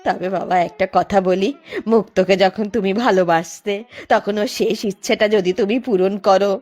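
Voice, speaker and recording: A woman's voice: mid-range voice, fairly even intonation, moderate pace, a little mellow, close to an everyday voice. A woman voicing a scheming, cajoling village mother who feigns sorrow in a cartoon story. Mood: coaxing. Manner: stammering, cajoling, lamenting. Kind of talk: Bengali cartoon story voice acting.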